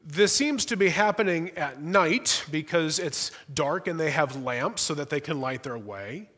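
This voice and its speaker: A young male pastor gives a sermon from the front of a large church sanctuary, with a fairly high voice. He speaks in a conversational way, adds light humor and often restarts small phrases. The room carries a long, ringing church reverb. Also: animated, a little slow, fairly bright tone, slightly rough.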